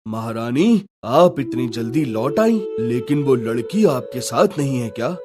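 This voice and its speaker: A man plays a considerate cartoon king in a mid-range voice. His manner is formal, calm and reassuring, with faint music underneath. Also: medium pitch, measured pace. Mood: concerned. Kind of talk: Hindi cartoon story dubbing.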